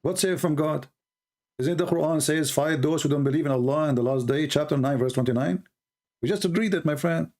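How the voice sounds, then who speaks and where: Insistent Arabic-speaking man, gravelly voice. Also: a little high, a little fast, a little mellow. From Middle East. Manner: argumentative, insistent, repeats points. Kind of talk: debate on a call.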